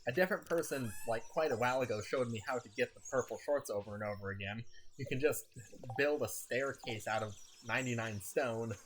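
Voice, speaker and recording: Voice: mid-range voice, fairly quick. Chatty streamer with a scratchy throat. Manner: rambling, chatty, laughs often. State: slightly sick, scratchy throat. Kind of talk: casual gameplay chat.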